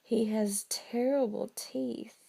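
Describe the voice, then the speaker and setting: A woman's voice: on the high side, fairly even intonation, takes it slowly, vocal fry, dark tone, husky, full character voice. A woman voicing a polite, clever little brown mouse in a bedtime story, courteous and matter-of-fact.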